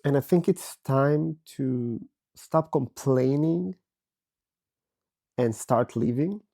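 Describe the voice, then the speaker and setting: Israeli-born man in his 30s, raspy and hoarse from illness, unhurried candid monologue, low warm timbre. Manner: slow, thoughtful, candid, with many pauses. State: hoarse from a virus. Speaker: podcast host. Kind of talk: personal reflective monologue. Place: bedroom, recording from bed.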